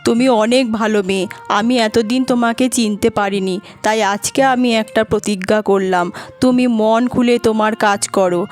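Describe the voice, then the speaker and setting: A woman's voice: medium pitch, steady tone, normal speed, natural voice. A woman playing an anxious, scolding older mother-in-law in a melodramatic cartoon, with dramatic, lamenting delivery. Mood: remorseful. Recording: music behind the voice.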